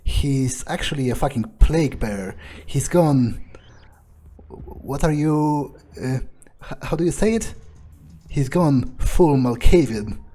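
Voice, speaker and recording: A man's voice: medium pitch, expressive, slow pace, dark tone. A man playing a cocky, crude vampire who relishes violence, with a hesitant, swearing drawl, in a roleplayed video game. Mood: blunt, scornful.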